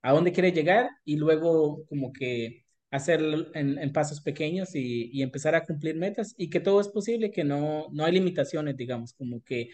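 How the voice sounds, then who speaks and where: A man's voice: fairly high pitch, normal speed, few pauses, darkish tone. A man with a Colombian paisa accent, speaking earnestly and encouragingly as a guest in a casual interview. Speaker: podcast guest, small business owner.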